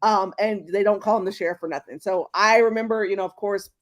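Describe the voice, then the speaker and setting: A woman's voice: mid-range voice, fast talker. A woman from Houston hosting a sports podcast, chatting casually with lots of fillers and restarts. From Houston, Texas. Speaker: podcast host.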